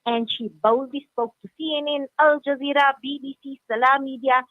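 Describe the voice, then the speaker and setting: A woman's voice: high-pitched, steady tone, moderate pace, dry recording. A woman radio presenter telling a story in live discussion, speaking emphatically and repeating key phrases. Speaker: senior radio presenter, studio guest. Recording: narrow phone-like sound.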